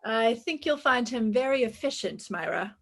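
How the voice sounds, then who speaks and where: A woman's voice: a little high, expressive, normal speed, creaky, a bit bright, heavily acted. A woman playing a breezy, wry female private detective in a 1950s-style radio play, with sassy delivery. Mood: polite, breezy.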